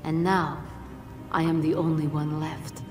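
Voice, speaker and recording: Low-voiced, solemn djinn guardian. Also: female voice, level tone. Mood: grave. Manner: measured, formal, grave delivery. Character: solemn, regal, ancient female djinn guardian. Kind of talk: dramatic video game cutscene acting. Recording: roomy echo, distant, music underneath.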